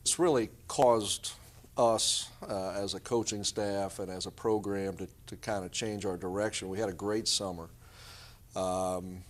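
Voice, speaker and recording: A man's voice: low voice, steady tone, a little slow, a little hiss or hum. A middle-aged man answering interview questions conversationally as a basketball coach.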